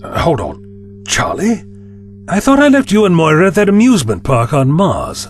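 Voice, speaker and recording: A man's voice: fairly high pitch, huge pitch swings, moderate pace, soft background music. A man playing a courteous, absent-minded time traveler in an audio drama, old-fashioned and polite. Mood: puzzled.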